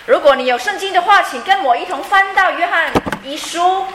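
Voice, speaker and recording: A woman's voice: extremely high pitch, fairly quick, background noise. A woman interpreting a sermon phrase by phrase, fluent but with frequent fillers and light laughs. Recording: echoey room.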